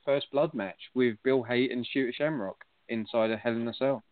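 A man joins by call and needles the others with a teasing, mock-pompous air. His voice sits at an ordinary pitch. The audio is thin and tinny, like a phone line. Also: moderate pace, no room sound. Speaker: guest on call. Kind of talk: joking banter on a call.

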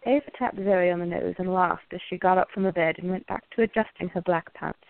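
A woman with a creaky voice reading scripted story narration for a radio play. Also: on the low side, a little fast, no echo. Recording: narrow phone-like, dry sound.

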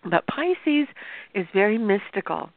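A woman with a sore throat talks straight to her viewers in an easy, explanatory way, her voice gravelly and full of fry. The sound is tinny and narrow, like a phone line, and completely dry. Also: mid-range voice, big pitch swings, measured pace, dry recording. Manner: chatty, explanatory, addresses viewers directly. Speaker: astrologer hosting a show. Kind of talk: informal talk-show monologue.

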